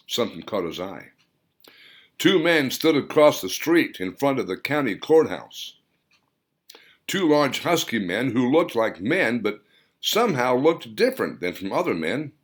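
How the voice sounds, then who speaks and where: A man reading his novel aloud as scripted audiobook narration, with dramatic, emphatic storytelling delivery. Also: on the low side.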